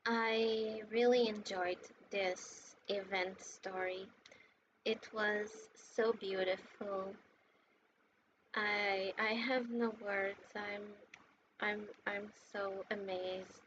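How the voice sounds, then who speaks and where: A woman giving casual, heartfelt game commentary in a gushing, sentimental and halting way. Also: medium pitch, steady tone. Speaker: gaming content creator. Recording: muffled lo-fi mic with noticeable background noise.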